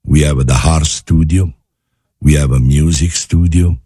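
A man from Italy with an Italian accent, a guest chef talking in a studio interview, halting with many fillers and restarts. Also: a bass voice, fairly even intonation, dark tone, slightly rough, dry recording. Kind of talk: interview conversation.

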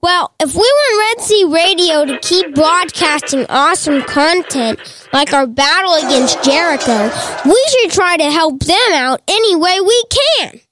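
A squeaky, dopey Israelite wanderer. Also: lively intonation, unhurried, low-quality recording. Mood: puzzled. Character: confused, easygoing biblical Israelite wanderer. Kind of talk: comedy radio ad sketch. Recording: music bed behind voice.

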